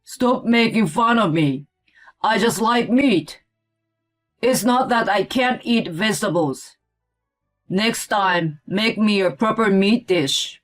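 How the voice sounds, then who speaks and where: A woman's voice: mid-range voice, lively intonation, takes it slowly, soft background music. Woman playing a whiny, grumpy picky man. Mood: angry complaining. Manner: indignant, complaining. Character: grumpy, whiny grown man, a picky meat-loving eater. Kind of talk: acted story dialogue.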